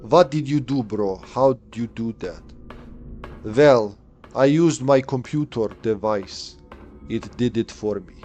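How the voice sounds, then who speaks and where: A man's voice: medium pitch, slow, mellow, faint music behind. A man in his late thirties giving live commentary on a game, chatting, thinking aloud and answering chat. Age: late 30s. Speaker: streamer.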